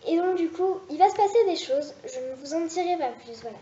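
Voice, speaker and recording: High-voiced hesitant young woman, roomy lo-fi. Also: lively intonation, a little fast, mellow. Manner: hesitant, rambling, many restarts. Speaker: web series creator. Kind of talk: casual vlog pitching story ideas. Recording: roomy, dull lo-fi sound with faint hiss.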